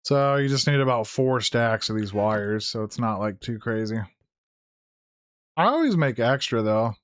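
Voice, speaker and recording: A hoarse man who is losing his voice, giving casual gameplay commentary, thinking aloud with fillers and self-corrections. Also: medium pitch, expressive, unhurried, dry recording. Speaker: gaming YouTuber. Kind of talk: casual Let's Play commentary.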